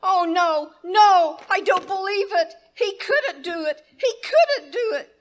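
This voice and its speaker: A woman's voice: very high-pitched, lively intonation, takes it slowly, exaggerated voice. A woman playing a frantic, demanding wife in a melodramatic, agitated 1930s radio thriller style, performed live on stage. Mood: distraught.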